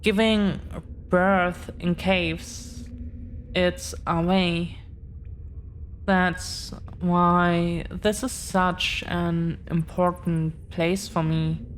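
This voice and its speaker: A woman playing an affectionate, protective dragon-blooded girlfriend in an intimate audio roleplay, speaking earnestly with halting, deliberate pauses. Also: on the low side, level tone, a little hiss or hum. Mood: earnest, solemn.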